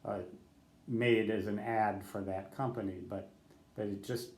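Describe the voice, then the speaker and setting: A man's voice: on the low side, fairly even intonation, unhurried, a little mellow, roomy sound. An older man who is a ham radio operator, giving rambling advice off the cuff, correcting himself and spelling out letters in radio code. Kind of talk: unscripted talk to camera.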